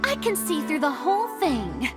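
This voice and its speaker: A woman's voice: high-pitched, huge pitch swings, moderate pace. A woman playing a grandiose, self-assured young accuser in a video game, with dramatic delivery. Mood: smug, accusing. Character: theatrical haughty young woman accusing like a judge. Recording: loud music mixed behind.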